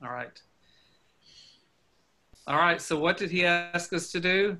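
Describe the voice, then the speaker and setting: Bright-voiced pastor leading a group call. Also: male voice, high voice, a little hiss or hum. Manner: friendly, asks quick questions, laughs often. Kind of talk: casual group facilitation on a video call.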